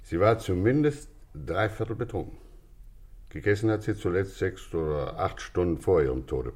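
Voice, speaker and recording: An actor playing a sober, precise police doctor in a German radio play, reporting in a clipped, clinical manner. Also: male voice, low voice, animated, moderate pace, darkish tone. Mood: matter-of-fact. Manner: clipped, clinical, matter-of-fact.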